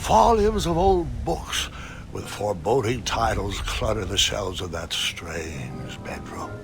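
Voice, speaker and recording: Whimsical elderly grandpa narrator, adventure game; gravelly, very lively intonation, theatrical and gently teasing. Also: male voice, lowish voice, takes it slowly, music in the background, full character voice. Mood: wry. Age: older. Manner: dramatic, savoring words, gently teasing. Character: whimsical old grandfather telling his adventures. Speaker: storyteller narrator.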